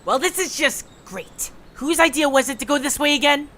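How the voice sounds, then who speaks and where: Man playing brash, sharp-voiced arrogant young leader. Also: extremely high pitch, normal speed, a little hiss or hum. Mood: sarcastic. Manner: scornful, sarcastic, brash. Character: bossy, arrogant, sarcastic young adventurer. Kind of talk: animated audio drama acting.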